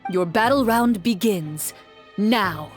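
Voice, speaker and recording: A woman playing a calm game master who narrates a tabletop adventure in a measured, theatrical way for a video game. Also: mid-range voice, soft background music, close to an everyday voice. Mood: announcing. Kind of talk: dramatic scripted narration.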